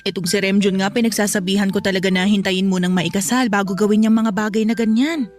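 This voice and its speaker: A woman's voice: mid-range voice, fairly even intonation, fast, close to an everyday voice. An actress playing a fussy, eager middle-aged mother of the groom in a radio drama. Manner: fussy, nagging.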